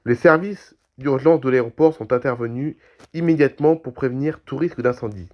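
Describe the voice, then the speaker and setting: A man's voice: medium pitch, a little fast, dull audio. Man with a cold reading news. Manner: reads aloud with restarts and throat clearing. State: has a cold, coughing. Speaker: aviation news youtuber. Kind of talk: reading aviation news with commentary.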